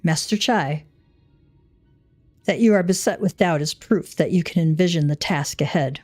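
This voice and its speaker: A woman's voice: on the low side, a little mellow, soft background music, natural voice. Woman reading as a composed, lofty mentor. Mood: reassuring. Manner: measured, lofty, old-fashioned phrasing. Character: wise, composed, archaic-spoken encouraging mentor.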